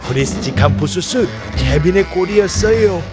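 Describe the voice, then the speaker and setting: Man narrating a children's story over loud music. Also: high voice, expressive, moderate pace.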